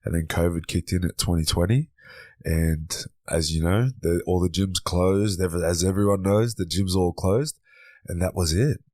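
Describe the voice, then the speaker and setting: A deep, low-pitched man in his thirties chats easily as a podcast guest. His delivery is relaxed, and he circles back over words while gathering his thoughts. The sound is dry and close, with no room around it. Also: moderate pace, no echo. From Australia. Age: 30s. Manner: easygoing, repeats words while thinking. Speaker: podcast guest, men's coach. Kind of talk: relaxed conversational interview.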